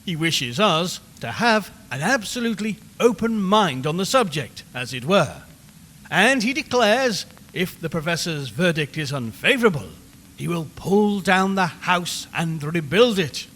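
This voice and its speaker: A man's voice: high-pitched, big pitch swings, a bit bright, exaggerated voice. A man playing an earnest, showy radio reporter in an audio drama, narrating formally and theatrically in an old-fashioned broadcast style. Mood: grave, dramatic. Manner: theatrical, formal, deliberate storytelling. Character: earnest, showy male radio reporter hosting a ghost hunt. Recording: long reverberant hall, faint noise.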